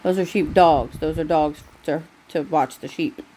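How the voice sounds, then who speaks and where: A woman's voice: low voice, a little slow, dark tone, a little hiss or hum, rough recording. A woman reading a bedtime story aloud to a child, with casual asides along the way.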